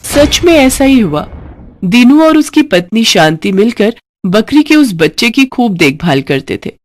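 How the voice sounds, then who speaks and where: A woman's voice: on the high side, sing-song, a little slow. A woman reading a scripted bedtime moral story as its narrator.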